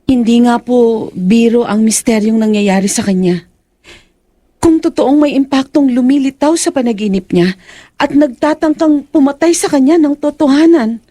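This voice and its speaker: An actress playing a respectful, concerned young adult daughter in a horror audio drama. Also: female voice, fairly high pitch, moderate pace, dark tone, dry recording, lightly acted.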